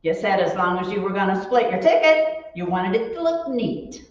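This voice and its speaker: A woman playing a sensible, teasing, gently scolding wife in a 1940s radio comedy. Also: medium pitch, big pitch swings, normal speed, slight background noise, lightly acted. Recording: muffled, echoey room, far from mic.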